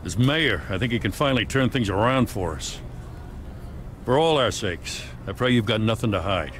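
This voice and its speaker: A man's voice: lowish voice, expressive, moderate pace, noisy background, natural voice. Plain-spoken middle-aged American cop played by a man. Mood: matter-of-fact, grave. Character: plain-spoken, dutiful police officer serving a warrant. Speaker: video game voice actor. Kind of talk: dramatic game dialogue.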